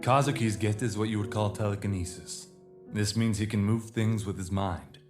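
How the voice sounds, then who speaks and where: A man playing a courteous, formal leader of a secret organization in an audio drama, speaking in a measured, polite way. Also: fairly low pitch, fairly even intonation, music in the background, close to an everyday voice. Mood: calm, explanatory.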